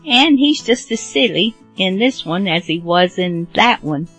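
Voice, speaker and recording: A woman hosting a radio show with a casual, halting, rambling and apologetic introduction. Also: mid-range voice, animated, fairly bright tone, breathy edge, soft background music. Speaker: host of old radio drama show.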